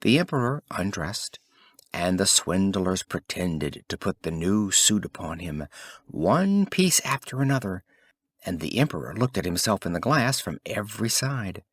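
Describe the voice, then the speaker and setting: A man reading a scripted fairy tale as an audiobook narrator, in an expressive, theatrical storytelling manner. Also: sits low, a little slow, no echo.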